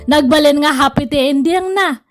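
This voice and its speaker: Female drama host, Ilocano accent, high register, storytelling narration over a light music bed. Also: normal speed. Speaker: drama host reading listener's letter. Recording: faint music behind.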